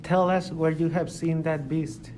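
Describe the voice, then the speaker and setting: A man's voice: a little high, level tone, unhurried, darkish tone, a little hiss or hum, close to an everyday voice. A man playing a reassuring expert hunter of beasts in an amateur radio drama. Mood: concerned.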